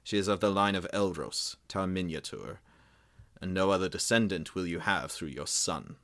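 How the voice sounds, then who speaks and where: A man voicing a proud, bitter young prince who speaks forcefully and resentfully. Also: deep voice, normal speed. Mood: defiant.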